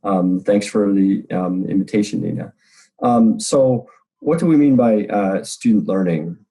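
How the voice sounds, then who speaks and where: A middle-aged American man answering interview questions in an online talk, speaking with many pauses and restarts. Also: lowish voice, gravelly, dark tone, rough recording. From United States. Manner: halting, many ums and restarts. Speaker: education professor.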